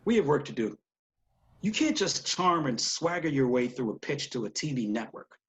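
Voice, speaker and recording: A man's voice: mid-range voice, very animated, normal speed, a bit bright. A man playing a cautious, easily exasperated television producer in a screenplay table read.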